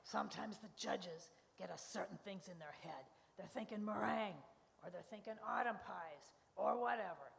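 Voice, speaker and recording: A woman playing a matter-of-fact, defeated pie baker with a creaky voice in a live radio comedy. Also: medium pitch, moderate pace. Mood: resigned. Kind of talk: live comic radio-show acting. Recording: echoey hall.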